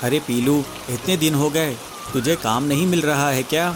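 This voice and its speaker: A man's voice: fairly high pitch, moderate pace, noisy background, lightly acted. Man voicing a practical older mother-in-law bird. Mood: worried.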